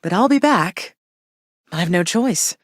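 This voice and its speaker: A stately mother goddess from a video game, with a fairly low womanly voice. She phrases things formally and with care, firm but affectionate, with a dry wit. The recording is clean and dry, free of room sound. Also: animated, no echo. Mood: purposeful. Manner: measured, formal, archaic phrasing. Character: warm, firm, regal mother goddess, gently wry.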